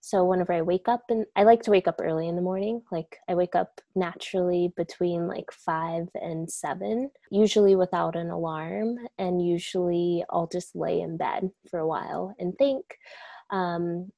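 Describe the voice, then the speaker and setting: A woman's voice: on the low side, steady tone, normal speed, gravelly, dry recording. A young woman speaking casually and reflectively as an interview guest, laid-back with many fillers. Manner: soft-spoken, laid-back, many likes and you-knows.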